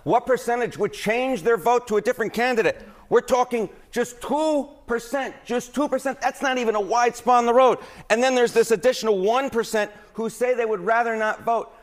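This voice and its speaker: A man's voice: very high-pitched, brisk, hardly any pauses. A man working as a data analyst on a TV news show, explaining poll numbers emphatically. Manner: emphatic, repeats key numbers. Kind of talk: fast energetic TV news explainer.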